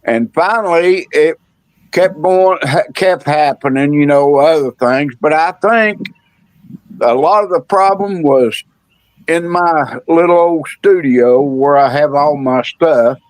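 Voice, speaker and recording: A man's voice: a little high, slow pace, dry recording, poor audio. A man speaking casually as a livestream guest, recounting his own experience. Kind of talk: casual personal storytelling.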